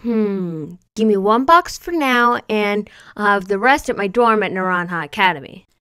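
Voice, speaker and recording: Woman playing a smooth, easygoing student girl. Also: medium pitch, expressive, moderate pace, fairly bright tone, dry recording, lightly acted. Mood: matter-of-fact. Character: easygoing, matter-of-fact academy student girl. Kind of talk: fan fiction story reading.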